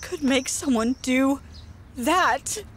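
A woman's voice: high-pitched, slow pace, a bit bright, a little hiss or hum. An actress in an audio drama playing a shaken, anxious young woman.

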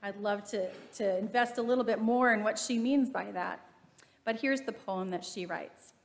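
A middle-aged woman preaches from a pulpit microphone, telling stories in an easy conversational way. Her voice sits at a mid pitch. It rings with the long reverb of a church sanctuary. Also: normal speed, vocal fry. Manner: conversational storytelling from the pulpit, gesturing. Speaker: pastor. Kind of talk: sermon.

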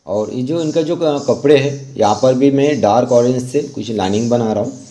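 A man with a soft-edged, mellow voice at a medium pitch walks through a craft as its instructor. His delivery is informal and instructive, moving haltingly from one step to the next. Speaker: rangoli art instructor. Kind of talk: informal step-by-step tutorial narration.

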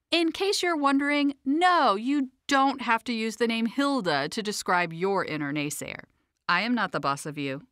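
A woman's voice: mid-range voice, a bit bright, no room sound, studio quality. A woman narrating her own self-help audiobook as a coach, in a sassy, emphatic, conversational way.

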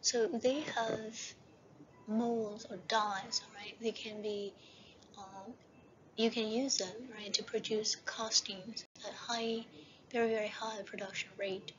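A woman lecturing over slides for a university class, often checking in with "right?" and slowing down to read slide headings. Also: fairly high pitch, steady tone, crowd noise. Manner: repeats "right?" and "okay?" as checks. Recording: dull lo-fi voice-over with faint hiss.

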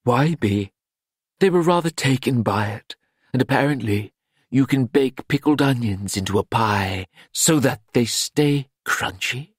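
A grand, theatrical fashion madam, played by a man, for a storybook. Delivery is languid, worldly and coaxing, pitched a little up, in a dry recording. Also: expressive, unhurried, slightly rough, no echo. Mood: reassuring.

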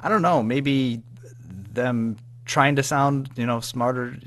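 A man chatting casually as an interview guest, informal and halting, padding his sentences with filler phrases. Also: medium pitch, a bit bright. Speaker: podcast guest, audio drama writer-producer.

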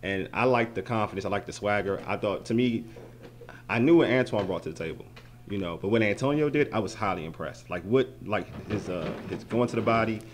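A man giving post-fight boxing analysis into a handheld mic at an indoor arena event, animated and prone to repeating words as he thinks. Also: on the low side, brisk.

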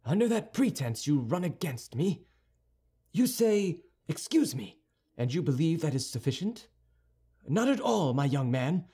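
A man voicing a proud, cold, wounded male musketeer who speaks in a haughty, cutting and deliberate way. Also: fairly high pitch, sing-song, warm tone, slightly rough, voice pushed up, full character voice. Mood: haughty rebuke.

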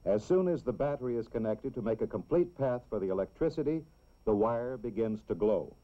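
A man's voice: mid-range voice, lively intonation. American man narrating a dull-sounding old educational film. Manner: simple, deliberate explaining for children.

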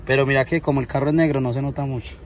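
A man's voice: mid-range voice, fairly even intonation, very fast, a little hiss or hum. A young man from Colombia, chatting and joking on a live stream as he shows around his house at night. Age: young adult. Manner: joking, teasing, playful. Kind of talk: casual live-stream chat. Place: house at night, indoors and outdoors.

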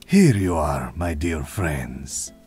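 Give me a slow, theatrical charmer of a barista, deep and husky. He lingers on every gallant phrase with a lively, swooping delivery. Faint game music sits under him. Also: full character voice.

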